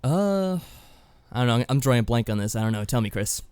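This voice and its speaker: A man with a mid-pitched voice, recorded close and free of room echo. He chats casually as a podcast co-host, in a laid-back, dryly understated way. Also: lively intonation, a little fast, a little mellow, clear, resonant tone. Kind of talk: casual chat.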